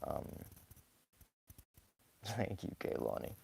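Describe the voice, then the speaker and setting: A hoarse young man talks casually while sketching live, rambling softly with many fillers and small laughs. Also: very slow, slight background noise. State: hoarse, scratchy from talking too much. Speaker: live-streaming sketch artist. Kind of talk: casual commentary while drawing.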